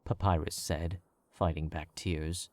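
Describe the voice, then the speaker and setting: A man narrating a fan fiction as an audiobook, in a measured, wry storytelling manner. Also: sits low, flat delivery, dry recording.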